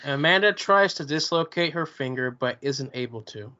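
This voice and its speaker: A man's voice: on the high side, animated, normal speed, pushed and bright. Man narrating stage directions, slightly dull sound. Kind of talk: scripted narration.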